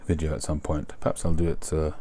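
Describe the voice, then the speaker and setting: Deep, mellow middle-aged man. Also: a little hiss or hum. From England. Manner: relaxed, unhurried, frequent ums. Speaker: pipe smoking vlogger. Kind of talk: casual talk to camera. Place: home room at a desk.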